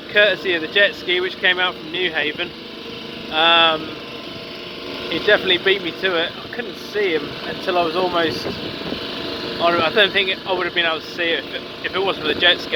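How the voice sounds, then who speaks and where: A man narrating his solo sailing trip in a relaxed, hesitant way with plenty of fillers. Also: high-pitched. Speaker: solo sailor vlogging. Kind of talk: casual vlog narration. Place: aboard a small sailing yacht at sea. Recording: loud wind noise on the mic. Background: wind and boat noise.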